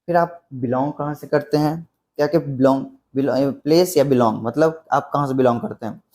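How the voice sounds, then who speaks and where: A man with a soft-edged, mellow tone at a medium pitch. He teaches like a tutor for beginners, explaining things step by step. He often repeats his example phrases. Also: brisk. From Bihar, India. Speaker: English teacher. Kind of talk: spoken English lesson explained in simple Hindi.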